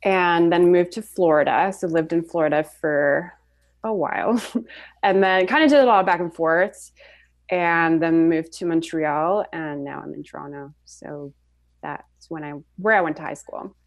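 A young woman from Switzerland talks through her path as an artist, unhurried and chatty. Her voice sits on the low side for a woman. She fills pauses with soft ums and little laughs. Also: no echo, studio quality. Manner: relaxed storytelling, frequent soft laughs and ums. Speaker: podcast guest, graphic designer and artist. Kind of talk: casual interview chat.

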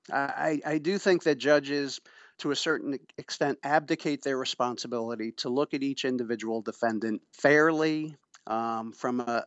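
A middle-aged man giving measured, formal and emphatic explanations as an expert guest in an interview. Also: medium pitch, a bit bright, no echo, dull audio. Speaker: interviewee, pretrial services official and former prosecutor. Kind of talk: explanatory radio interview answers.

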